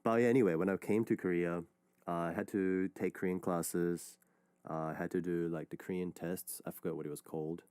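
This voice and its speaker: A man's voice: low-pitched, fairly quick, clean tone, no room sound. A man, hosting a radio show and chatting casually between listener messages, rambling with lots of fillers. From Australia. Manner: relaxed, rambling, many fillers and restarts. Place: radio studio. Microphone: studio microphone.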